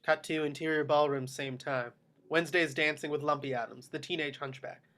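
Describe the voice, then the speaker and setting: A man's voice: fairly high pitch, fairly even intonation, fairly quick, bright, forceful tone. A man reading screenplay action descriptions aloud as narrator in a table read.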